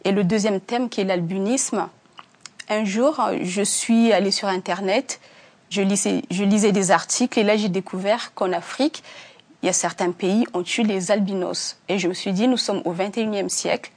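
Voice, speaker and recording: A woman from Africa, an author being interviewed, answering earnestly with many pauses and restarts. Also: medium pitch, steady tone, darkish tone. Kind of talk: hesitant conversational interview answers.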